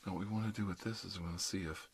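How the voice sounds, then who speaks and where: A man playing a calm, reassuring dentist in an ASMR roleplay, speaking softly and often slipping into a whisper as he soothes a patient. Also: low-pitched, slightly rough. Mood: careful, soothing. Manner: soothing, unhurried, reassuring, talking a patient through each step. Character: calm, reassuring male emergency dentist treating a supernatural patient. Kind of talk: ASMR roleplay, soft-spoken with frequent whispering.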